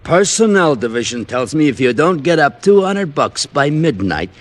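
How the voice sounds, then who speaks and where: Dim needling cop, animated delivery. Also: male voice, on the high side, moderate pace. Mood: needling, affronted. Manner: mocking, slangy, easily affronted. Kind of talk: old-time radio comic acting.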